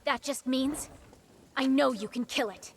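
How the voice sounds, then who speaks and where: An actress playing a confident, battle-hardened woman who briskly guides her hunter partner in a video game. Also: high voice, slight background noise. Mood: urgent, resolute.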